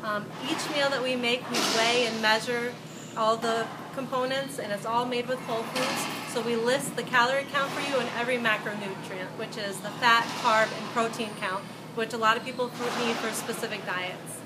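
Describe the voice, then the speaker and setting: A woman's voice: on the high side, fairly even intonation, normal speed. A young woman who runs a catering business, explaining it in a friendly interview, filmed from across an indoor room. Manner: friendly, explanatory, some ums. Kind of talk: casual interview. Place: bright indoor room with glass wall. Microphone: far camera. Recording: camera audio with loud background noise.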